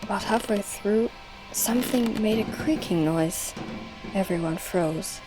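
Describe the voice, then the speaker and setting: A woman's voice: mid-range voice, measured pace, music in the background, rough recording. A woman narrator reading scripted story narration for an audio drama.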